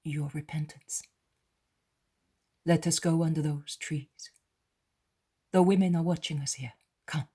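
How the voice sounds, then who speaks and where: Fields: woman reading as a gentle male teacher. Tone: calm. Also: a little high, slightly rough. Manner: gentle, measured.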